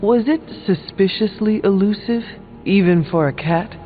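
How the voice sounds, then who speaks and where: A man playing an earnest, curious hero in a video game, speaking in polite, measured, formal phrases. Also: high-pitched, close to an everyday voice. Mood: curious, level. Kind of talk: video game dialogue acting. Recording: muffled narrow-band game audio, music behind.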